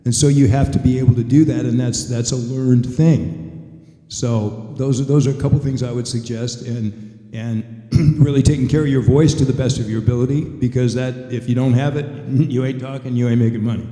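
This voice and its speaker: An older man talks to an audience in a plain, folksy, wry way, like a seasoned performer on a panel. His voice is very dark and mellow. It carries through an echoey hall with long reverb. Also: mid-range voice, steady tone, moderate pace. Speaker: veteran voice actor on a convention panel. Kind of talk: conversational advice to an audience. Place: convention panel room.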